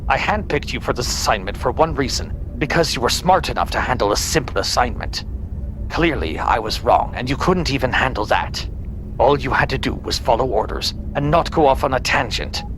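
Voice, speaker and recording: A man's voice: fairly quick, lightly acted. Man acting a sneering, tyrannical starship admiral. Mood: angry contempt. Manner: condescending, domineering, ranting. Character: sneering, tyrannical, bigoted Starfleet admiral, cold and contemptuous. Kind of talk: sci-fi audio drama acting. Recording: steady background rumble.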